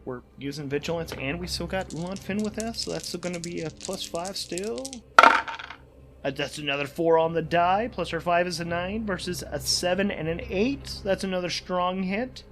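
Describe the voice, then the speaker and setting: A man's voice: high-pitched, normal speed, very noisy. A man hosting a solo tabletop game, thinking aloud informally and correcting himself as he goes, with ambience behind him. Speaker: solo game player and podcast host.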